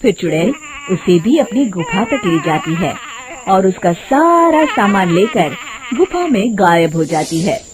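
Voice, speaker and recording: A woman's voice: mid-range voice, sing-song, a little slow, noisy background. A woman narrating a scripted moral tale in a dramatic storytelling style.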